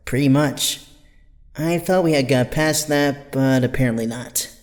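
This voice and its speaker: Guy playing a mild teen peacemaker, medium pitch, bit hesitant, long hall reverb. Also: measured pace, lightly acted. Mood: conciliatory, resigned. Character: mild, conciliatory teenage boy hero. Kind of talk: audio drama acting.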